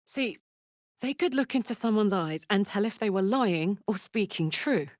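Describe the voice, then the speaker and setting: A woman's voice: mid-range voice, lively intonation, normal speed, no echo, studio quality, lightly acted. A woman voicing a brash, big-hearted, hot-tempered warrior in a fantasy video game, speaking bluntly, informally and with open emotion. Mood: wry, casual.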